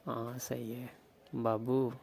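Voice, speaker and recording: A man's voice: medium pitch, little pitch movement, slow, gravelly, a little mellow. A man playing a teasing, affectionate young Rajasthani lover, talking intimately on the phone. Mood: tender. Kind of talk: intimate romantic phone conversation, acted as a prank call recording.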